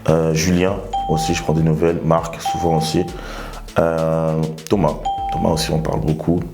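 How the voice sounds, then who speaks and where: A man in his twenties, from Togo, answering interview questions in a relaxed, rambling way with lots of fillers. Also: extremely low pitch, moderate pace, music in the background. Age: 20s. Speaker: reality TV contestant.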